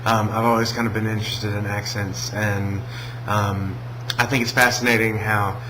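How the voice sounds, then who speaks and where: A man from Alabama with a Southern American accent, talking casually in a video response, hesitant with many pauses and restarts. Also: lowish voice, flat delivery, background noise. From Alabama, USA. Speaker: vlogger.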